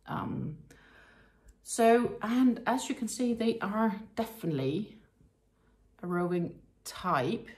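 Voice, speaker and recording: A Danish woman with a Danish accent, casually chatting through an unboxing as a crochet vlogger, full of ums and likes and sometimes dropping to a soft murmur. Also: mid-range voice. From Denmark. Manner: chatty, many ums and likes, drops to a soft murmur while handling yarn. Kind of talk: casual unboxing show-and-tell.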